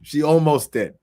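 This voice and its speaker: Slow-talking man in loose, profane commentary. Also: fairly high pitch, breathy edge. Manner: laughs a lot, swears freely, mimics people. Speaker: show host reacting to a court hearing.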